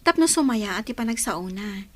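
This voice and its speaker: A woman's voice: medium pitch, animated, moderate pace, natural voice. A woman playing an earnest, hopeful daughter in a radio drama.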